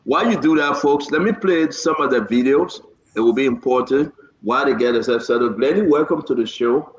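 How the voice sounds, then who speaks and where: Male talk show host, medium pitch, conversational and repetitive, on dull echoey live-stream audio. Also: a little fast. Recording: roomy echo, dull lo-fi sound.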